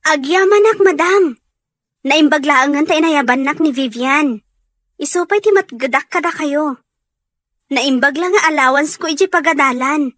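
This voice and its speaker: A woman's voice: high voice, moderate pace, a bit bright, dry recording. A woman acting as a friendly, plain-spoken young working woman in a scripted Ilocano radio drama. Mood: polite.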